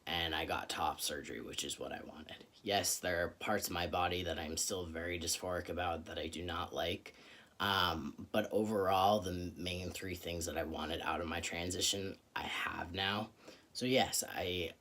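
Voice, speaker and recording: Low, bright-voiced young man vlogging. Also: steady tone. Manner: earnest, thoughtful, with frequent "um" pauses. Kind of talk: reflective personal vlog.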